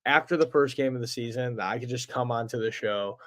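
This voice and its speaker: A man's voice: mid-range voice, brisk. A young man with a Chicago accent, co-hosting a podcast in casual sports chat, laid-back and swearing freely. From Chicago area. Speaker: podcast co-host.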